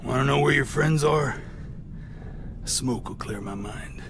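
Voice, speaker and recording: An actor playing a wounded middle-aged raider held captive in a video game, with a gravelly voice and a taunting, profane, menacing manner. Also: male voice, medium pitch, unhurried, noisy background. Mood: sly, weary.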